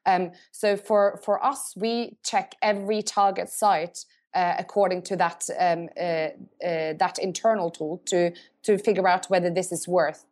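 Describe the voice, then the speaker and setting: Woman, a bit low-pitched. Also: fairly even intonation, darkish tone. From Norway. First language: Norwegian. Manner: thoughtful, pauses to search for words and rephrases. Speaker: agency CEO, guest. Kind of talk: podcast interview answers.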